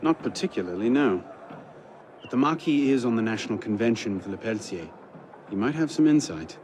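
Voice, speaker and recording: A man's voice: lowish voice, animated, a little fast, darkish tone, slight background noise, close to an everyday voice. An actor playing an earnest, wry young man, a romantic adventurer in a video game. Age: young adult.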